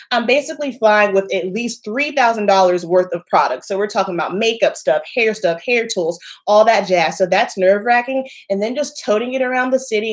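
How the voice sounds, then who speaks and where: A woman from the American South, a makeup artist chatting casually on a livestream, a little congested and nasal, emphatic and quick to laugh. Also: mid-range voice.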